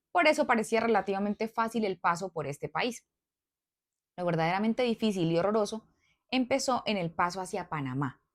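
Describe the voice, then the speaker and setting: A woman with a mid-range voice reads a follower's story aloud. Her reading is expressive, and she breaks off now and then for casual asides to her followers. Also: mid-range voice.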